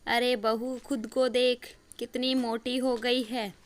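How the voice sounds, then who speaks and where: A woman's voice: a little high, flat delivery, slow, voice pushed up. A woman voicing a bossy, scolding middle-aged mother-in-law in a story cartoon, nagging and giving orders. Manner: nagging, commanding.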